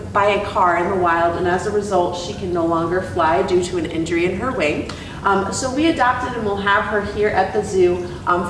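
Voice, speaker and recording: A woman working as an educator, giving a talk and storytime reading for children with gentle, deliberate delivery. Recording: very echoey room, distant mic, faint rumble.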